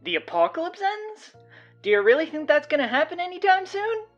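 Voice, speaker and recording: A woman's voice: very high voice, very animated, fairly quick, dull audio. A woman playing a sullen, haunted teenage survivor in an audio drama, defiant and withdrawn. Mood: defiant, annoyed. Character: sullen, haunted teenage survivor, dodging questions about horrors. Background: music.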